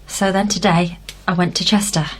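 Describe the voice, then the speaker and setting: A woman's voice: lowish voice, level tone, normal speed, breathy edge. An actress playing a determined, reassuring younger woman in a naturalistic radio drama, with a conversational, coaxing manner. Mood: confiding. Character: determined, reassuring younger woman investigating her fiancé's past.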